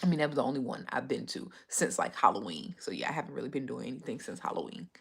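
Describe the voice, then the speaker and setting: A woman's voice: a bass voice, expressive, quick pace, creaky, a bit bright, husky. A woman hosting a casual livestream, chatting and answering viewer comments, a bit stuffy from a cold. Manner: chatty, rambling, reads viewer comments aloud. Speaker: livestream host.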